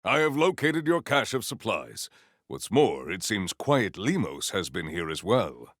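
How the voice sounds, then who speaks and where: A man's voice: lowish voice, sing-song, measured pace, a bit bright, no room sound, clean studio sound, heavily acted. A man playing a solemn, proud warrior in a video game, speaking with formal, stately diction and dramatic weight. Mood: earnest, stately. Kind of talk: scripted game character lines.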